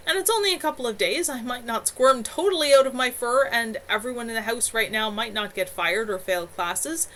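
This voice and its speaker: A woman's voice: a little high, a little fast, few pauses, a bit bright, voice pushed up, close to an everyday voice. A woman reading a young man's lines, casual and joking with a slightly anxious edge, in a lightly raised voice.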